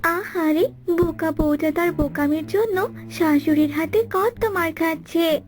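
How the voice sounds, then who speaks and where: A woman's voice: very high-pitched, unhurried, soft background music. A woman voicing a kindly, pitying magical fairy in a cartoon. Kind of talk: Bengali cartoon voice acting.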